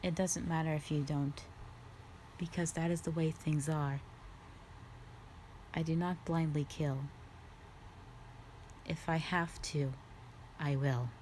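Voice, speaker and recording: A low-voiced female dragon guardian, acted by a woman, stern yet calm and bound by duty. She talks very slowly in measured, formal phrases, like a solemn warrior in an audio drama. Music plays underneath. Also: a little hiss or hum, low-quality recording. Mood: quiet, regretful. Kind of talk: scripted roleplay audio drama monologue.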